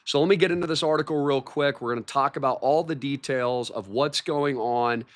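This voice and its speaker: A man hosting a livestream, his voice hoarse from a recent cold, talking in an excited, repetitive way about market news. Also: medium pitch, a little fast. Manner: hyped, repeats words like massive and shocking. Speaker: livestream host.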